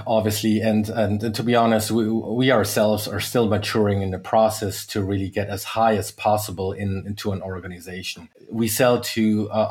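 Low-voiced man, measured podcast guest. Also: darkish tone. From United States. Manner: measured, many fillers and restarts. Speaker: guest, sales executive. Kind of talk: podcast interview.

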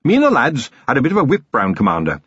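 A man's voice: fairly high pitch, sing-song, fairly quick, breathy edge, dry recording, lo-fi sound, voice pushed up. A man voicing an earnest, courteous male police captain, respectful and upbeat, in an audiobook. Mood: apologetic.

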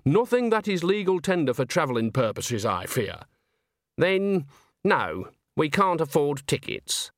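A man's voice: a little high, big pitch swings, a little slow, no room sound, clean studio sound, voice pushed up. A man voicing a chatty, homely, cheeky old woman witch in an audiobook, folksy and rambling. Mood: wheedling, resigned. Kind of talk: audiobook character acting.

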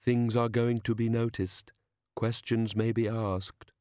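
A man's voice: mid-range voice, flat delivery, warm tone, no room sound, clean studio sound, natural voice. Man voicing cautious, pedantic robed spirit bureaucrat. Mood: officious, cautious. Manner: clipped, officious, deliberate.

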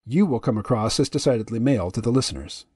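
Man, deep voice, podcast host, measured and dry delivery, mid pitch, dry close sound. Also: expressive, mellow, husky, no room sound. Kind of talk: reading a dialogue aloud.

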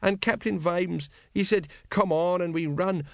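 A man's voice: fairly high pitch, fairly quick, no echo, clean studio sound, voice pushed up, close to an everyday voice. A man voicing a wistful, miserable older watch sergeant who rambles through his memories in an audiobook. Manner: miserable, rambling working-class storyteller. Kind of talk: audiobook character dialogue.

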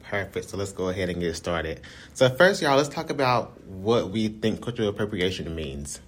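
A man's voice: low voice, expressive, moderate pace, a bit bright. An American man hosting a casual discussion podcast, moderating the conversation and putting questions to the group. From United States. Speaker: podcast host.

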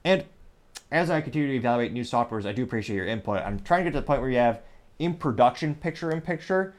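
A man's voice: medium pitch, expressive. Rambling male commentary show host. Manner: rambling, self-correcting, mentions stuttering and speaking fast. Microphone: small USB microphone.

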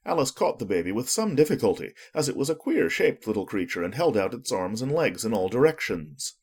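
A man's voice: mid-range voice, very animated, brisk, a little mellow, breathy edge. A man narrating a storybook as a scripted audiobook, telling it expressively.

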